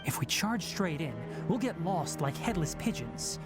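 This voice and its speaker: A man voicing a calm young male forest ranger and scholar in a game, measured and analytical, explaining plans patiently. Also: high-pitched. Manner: measured, analytical, gently instructive. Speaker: forest ranger leading an investigation. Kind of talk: game voice acting. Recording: game audio under loud music.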